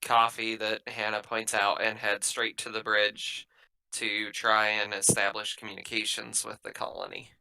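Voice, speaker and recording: A man's voice: fairly low pitch, completely flat delivery, moderate pace, creaky, pushed and bright, slight background noise, rough recording. A man talking casually as a player in a tabletop roleplaying game.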